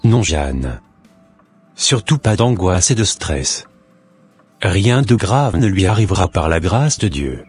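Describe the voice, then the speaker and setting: A man voicing a calm, reassuring believer. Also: low-pitched, slow pace, long pauses, slightly rough, soft background music, heavily acted. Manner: reassuring, sermon-like.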